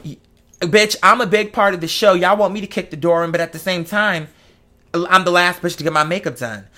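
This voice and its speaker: Bright, sassy man chatting casually. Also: high-pitched, brisk, a little hiss or hum. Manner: sassy, campy, slangy and emphatic. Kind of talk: casual monologue, chatty rant.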